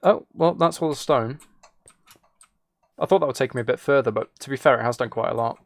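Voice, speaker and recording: A man with a creaky, mid-pitched voice talks casually through his gameplay. He rambles as he thinks aloud, with a playful, self-mocking streak. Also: a little fast, dry recording.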